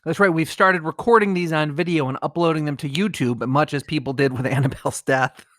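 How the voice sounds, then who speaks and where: A man's voice: a little high, fairly even intonation, fairly quick, a bit bright, no echo, clean studio sound. A middle-aged man co-hosting a comedy podcast, moving between mock-solemn reading and casual chat. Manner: breaks into laughter while trying to read gravely.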